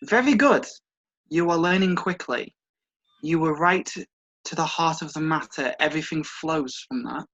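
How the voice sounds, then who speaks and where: A performer reading the part of a wise old master calmly instructing a pupil in a story. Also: mid-range voice, normal speed, muffled recording. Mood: approving. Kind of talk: read-aloud story dialogue.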